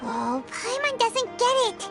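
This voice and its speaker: A woman voicing a small, excitable, childlike female fairy companion in a video game, squeaky and exclamatory. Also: unhurried, vocal fry, heavily acted. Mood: puzzled. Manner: talks of herself in third person, exclamatory. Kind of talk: video game character acting. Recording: music behind the voice.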